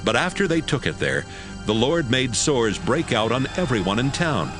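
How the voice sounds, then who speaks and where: A man's voice: medium pitch, very animated, normal speed, over music. A man narrating a dramatized audiobook as a scripted storyteller.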